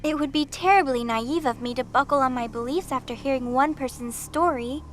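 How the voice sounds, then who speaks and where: A woman's voice: high-pitched, fairly quick, a little hiss or hum, natural voice. A woman playing an earnest, idealistic young woman in an audio drama, speaking formally and carefully. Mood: earnest, measured.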